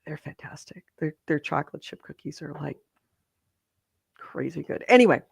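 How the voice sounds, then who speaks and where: A slightly hoarse woman co-hosting a podcast, chatting in a rambling, self-interrupting way. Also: deep voice, lively intonation, normal speed, no room sound, studio quality. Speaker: podcast co-host. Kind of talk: casual chat.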